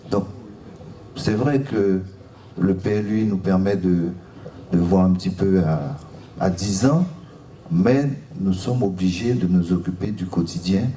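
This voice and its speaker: Deep-voiced man speaking in a roomy hall. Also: warm tone. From French Guiana. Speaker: local elected official at a seminar. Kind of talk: public remarks at a seminar. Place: seminar room, recorded from a distance. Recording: roomy, distant mic, faint noise.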